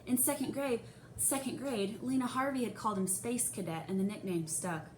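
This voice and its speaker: Echoey, expressive woman reading a story aloud. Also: a little high, normal speed. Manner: expressive, dramatizes the young narrator's feelings and dialogue. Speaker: reader of a children's novel. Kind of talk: read-aloud storytelling, first-person narration. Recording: echoey room with faint hiss.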